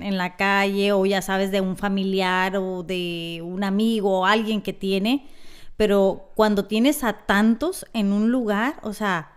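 A woman's voice: medium pitch, clear, resonant tone. Chatty woman podcast host. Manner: chatty and animated, slangy. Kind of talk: casual podcast interview. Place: small decorated studio room. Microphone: studio mic on boom arm, headphones.

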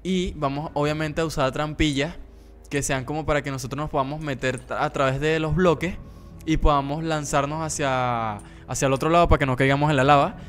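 A young man chatting casually with friends while playing a video game, excitable and playfully teasing. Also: fairly high pitch, a little fast, soft background music. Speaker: gaming video host, player. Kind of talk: casual gameplay chat with friends.

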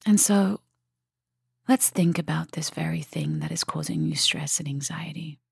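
A woman with a low, husky voice leads a guided meditation, often sinking toward a whisper. Her words come softly and gently, with long pauses and plenty of gravelly fry. The sound is close and very dry. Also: wildly swooping pitch, no room sound. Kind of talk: guided meditation, soft and soothing delivery.

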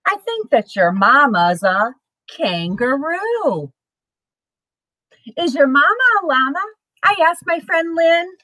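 Animated middle-aged woman reading to kids. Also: high-pitched, takes it slowly, pauses often, dry recording. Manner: animated, sing-song emphasis for young listeners. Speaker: storyteller reading a picture book aloud to children. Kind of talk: expressive children's read-aloud. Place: small home room with bookshelves, indoors.

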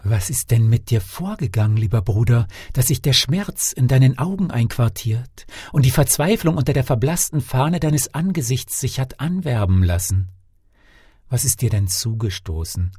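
A male narrator acts out a devoted brother of a king in a storybook tale. He pleads earnestly and urgently in florid phrases. The voice is dark, husky and very dry in sound. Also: medium pitch, dry recording. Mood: concerned. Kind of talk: fairy-tale audiobook dialogue.

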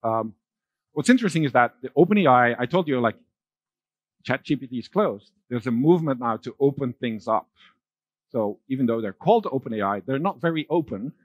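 A middle-aged man giving a conference keynote on a large dark stage, talking loosely with fillers and restarts. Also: medium pitch, animated, fairly quick, no room sound, dull audio. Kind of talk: keynote talk with slides.

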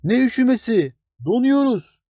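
A man as eager high-pitched wild meadow grass. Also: big pitch swings, normal speed, mellow, no room sound, clean studio sound, full character voice. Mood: complaining. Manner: eager, exclamatory. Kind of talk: children's fairy-tale storytelling.

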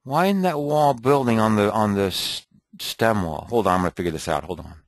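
A man thinking aloud and muttering to himself as he clicks through an off-the-cuff software tutorial at his computer. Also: on the low side, fairly quick, no room sound. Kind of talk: off-the-cuff screen recording tutorial.

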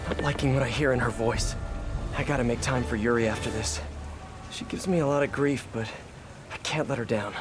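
An actor playing a young, quippy American superhero in a video game, chattering in a jokey, self-deprecating way. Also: male voice, mid-range voice, fairly quick, music in the background, lightly acted. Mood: troubled. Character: young wisecracking male superhero, earnest and quippy.

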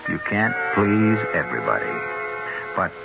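Low, animated male radio host. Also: unhurried, creaky. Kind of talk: scripted 1970s radio narration. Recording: muffled radio recording with loud music.

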